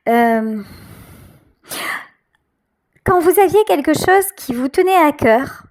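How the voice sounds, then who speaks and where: A woman with a high voice gives a tarot reading, speaking directly to her viewers. Her pace is very slow, with frequent pauses as she searches for the right words. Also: lively intonation, a little mellow. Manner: hesitant, searching for words, pausing often. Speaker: tarot reader, channel host. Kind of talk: intuitive tarot card reading addressed to viewers.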